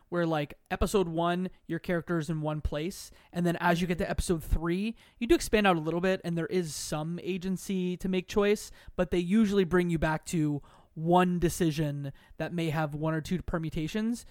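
A man's voice: high-pitched, brisk, a little mellow, clean tone, dry recording, clean studio sound. A man as a podcast guest, casually and emphatically explaining his views on video games. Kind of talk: casual video game discussion.

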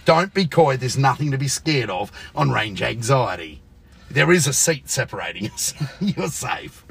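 An Australian man with a gravelly, husky voice hosts a laid-back chat show from a booth. He ribs his guest constantly, cursing and laughing as he steers the talk. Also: medium pitch, very animated, a little slow, a bit bright. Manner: joking, teasing, swears freely. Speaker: podcast host. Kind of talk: casual banter with a guest. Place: radio booth.

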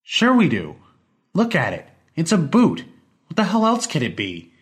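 A man playing an excitable, defensive younger male technician in an audiobook story, blurting his words emphatically. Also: a little high, a little slow, pitched above the performer's own voice. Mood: insistent.

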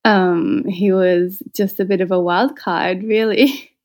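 A woman's voice: medium pitch, fairly even intonation, slow, darkish tone. A woman from Austria who moved to Australia as a child, speaking candidly and reflectively as an interview guest. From born in Austria, moved to Australia as a child. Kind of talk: personal storytelling in a conversational interview.

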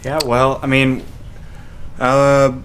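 A man's voice: mid-range voice, monotone, slow pace, a bit bright, slight background noise. A young man chatting casually on a podcast.

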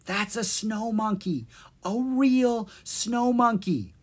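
A woman voicing an eager, excitable young boy adventurer in a children's storybook, with breathless enthusiasm. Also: a little high, slow, breathy edge, voice pushed up, full character voice. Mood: awed.

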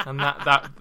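A young Welsh man with a Welsh accent, co-hosting a casual podcast chat and telling stories in a halting, stop-start way. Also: mid-range voice. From Wales. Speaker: podcast co-host.

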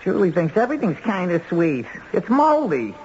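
A sarcastic, streetwise crook with a highish, animated voice. He grumbles brusquely in radio drama style, on a dull old recording. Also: moderate pace, a little hiss or hum. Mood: scornful. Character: grumbling, sarcastic small-time crook, male. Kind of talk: 1970s radio drama acting.

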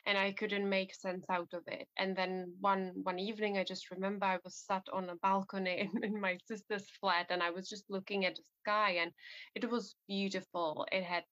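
A woman from the Republic, hosting an interview in an earnest, soft manner full of fillers. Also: medium pitch, flat delivery, moderate pace, no room sound. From Czech Republic. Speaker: podcast host. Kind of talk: reflective interview conversation. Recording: dull lo-fi sound.

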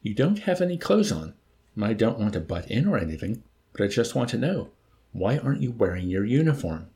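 A man voicing an earnest, anxious male wheeler-dealer in an audiobook, with an excitable, pleading, plaintive manner. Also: fairly low pitch, a little fast. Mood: hesitant, curious. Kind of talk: audiobook character voice.

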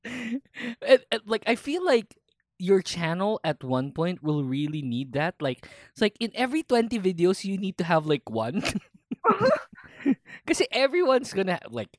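Lively Filipino man hosting a podcast. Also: sits high, unhurried, dry recording, studio quality. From Philippines. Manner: laughs often, mixes English with Tagalog. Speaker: podcast host. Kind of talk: casual interview chat.

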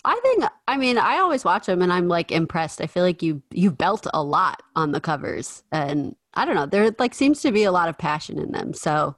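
Young woman podcast host, somewhat low voice, dry close sound, teasing and quick to laugh. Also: big pitch swings, no echo. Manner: playful, teasing, laughs easily. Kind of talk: casual interview chat.

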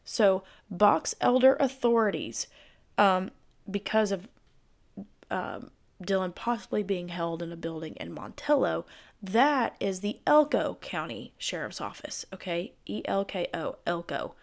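A woman hosting a true crime podcast, her voice hoarse and giving out, narrating casually with chatty, speculative asides. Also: mid-range voice, sing-song, a little slow.

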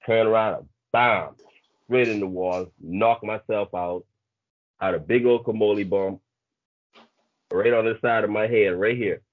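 A man's voice: fairly low pitch, fairly even intonation, a little slow, long pauses, no echo, narrow, tinny audio. A man in his thirties with a Bahamian accent, chatting casually and telling stories as a coach guest over a thin call line. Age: 30s. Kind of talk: casual storytelling chat.

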